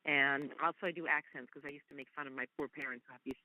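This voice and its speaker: A woman's voice: deep voice, fairly even intonation, fast talker, sharp tone, dry recording, low-quality recording. A woman from Canada whose first language is Hungarian, talking effusively as a guest actress in a casual interview.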